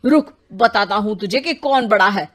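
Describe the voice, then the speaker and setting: A woman's voice: medium pitch, moderate pace, a bit bright, lightly acted. A woman voicing a proud, argumentative married elder brother with an indignant, bickering manner in a Hindi cartoon. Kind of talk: Hindi moral-story cartoon dubbing.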